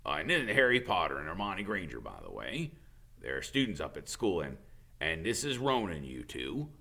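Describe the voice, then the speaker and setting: Guy doing a burly gruff gamekeeper from a storybook, wary but kind, stumbles over words, forceful, up and down a lot. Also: low voice, sing-song, normal speed, pitched below the performer's own voice, heavily acted. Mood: relieved, friendly. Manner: folksy, hesitant, ungrammatical speech.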